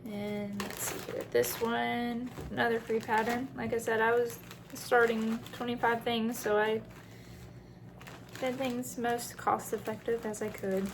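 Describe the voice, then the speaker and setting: A woman's voice: a little high, little pitch movement, a little slow, noisy background. A woman chatting casually through a craft show-and-tell as host, in a rambling way with self-deprecating asides.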